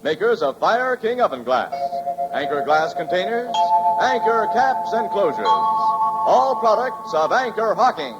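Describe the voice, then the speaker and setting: A man's voice: high voice, very animated, a little slow, over loud music. A man announcing in scripted 1940s radio advertising style, with an emphatic sales delivery. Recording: dull old radio recording.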